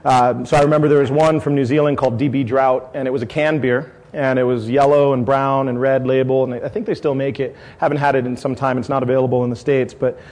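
A man's voice: medium pitch, little pitch movement, fast talker, hardly any pauses, darkish tone. Rambling middle-aged man at a tasting. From San Diego, California. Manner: rambling, with many fillers and restarts. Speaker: brewery owner. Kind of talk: casual talk at a tasting event.

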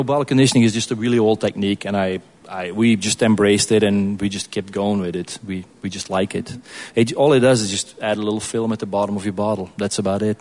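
A man from Belgium, speaking as a guest brewer in an informal question-and-answer session, in a casual, halting way. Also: on the low side, a little mellow.

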